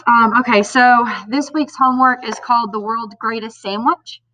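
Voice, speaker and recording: A woman's voice: a little high, steady tone, moderate pace, sharp tone, recorded from a distance, a little hiss or hum. A woman teacher, sounding nasal from a cold, patiently explaining homework to parents step by step with frequent ums. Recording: dull lo-fi sound a bit off mic.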